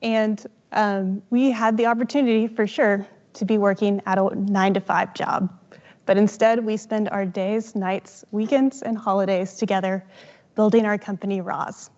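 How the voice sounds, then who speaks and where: A woman co-founder giving an upbeat, emphatic startup pitch on stage. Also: level tone, normal speed, a little mellow, highs cut off.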